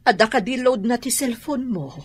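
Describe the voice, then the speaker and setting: A woman's voice: a little high, moderate pace, husky, no echo. A woman playing a weary, suffering, impoverished mother in a radio drama. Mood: weary, worried.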